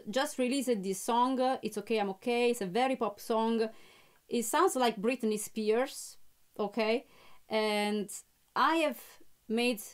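Chatty young woman with a clear, bright voice. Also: a little high. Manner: chatty, with frequent fillers and restarts. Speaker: musician and channel host. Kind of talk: casual live-stream chat. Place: small home music studio, indoors.

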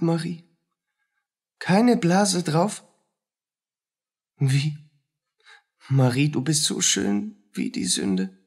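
Radio play casting: a feverish, jealous poor soldier, husky-voiced, unhurried, brooding in broken fragments. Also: male voice, sits high, heavily acted. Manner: halting, brooding, agitated fragments. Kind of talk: German radio play acting.

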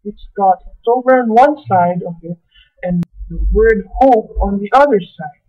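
A woman's voice: medium pitch, unhurried, lightly acted. Woman as eager know-it-all friend, dull recording. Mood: proudly explaining. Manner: explaining proudly. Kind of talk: old-time radio play acting by an amateur.

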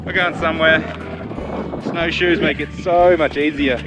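A man's voice: a little high, fairly even intonation, moderate pace, lo-fi sound, over music. A young man giving dry, jokey commentary to a handheld camera outdoors on a mountain, with wind across the mic. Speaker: travel companion. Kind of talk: casual travel vlog chat. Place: outdoors on a windy snowy mountain. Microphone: handheld action camera.

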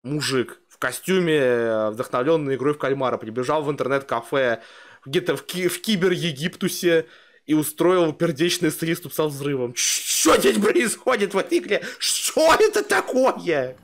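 A man's voice: on the high side, expressive, normal speed. A young man streaming a reaction video from a small home room on a headset, joking crudely and reading out donations. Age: young adult. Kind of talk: casual reaction commentary.

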